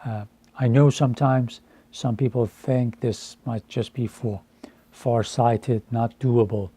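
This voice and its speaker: A man in his fifties from Iran, a Farsi speaker, speaking deliberately and earnestly to camera with frequent hesitations. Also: medium pitch, steady tone, warm tone, slightly rough. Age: 50s. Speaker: translator. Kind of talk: earnest personal address to camera.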